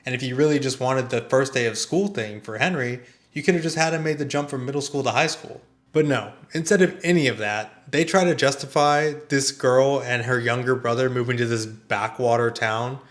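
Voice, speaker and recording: A man's voice: medium pitch, fairly bright tone. A man delivering scripted video essay commentary in a conversational, exasperated way with emphatic rhetorical questions. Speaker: video reviewer and host.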